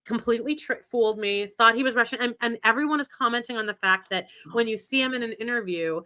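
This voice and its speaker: A woman's voice: on the high side, fast, sharp tone. A woman co-hosting a podcast in casual pop-culture chat, rambling and enthusiastic with lots of "like" and restarts. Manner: rambling, heavy use of "like", frequent restarts; chatty and enthusiastic. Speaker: podcast co-host.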